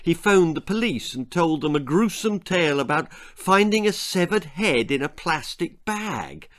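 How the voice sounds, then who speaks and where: Older man telling stories, slow and very expressive. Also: on the high side. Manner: theatrical, deliberate, relishing gruesome wordplay. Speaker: author reading his own story. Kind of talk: dramatic storytelling for children. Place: small indoor room lined with bookshelves.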